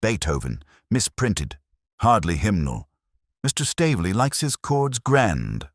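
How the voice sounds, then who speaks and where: A man voicing a mild, thoughtful police inspector in a mystery story, understated, deliberate and dryly wry. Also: on the low side, sing-song, dry recording, studio quality, voice pushed up, exaggerated voice. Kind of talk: mystery audiobook character reading.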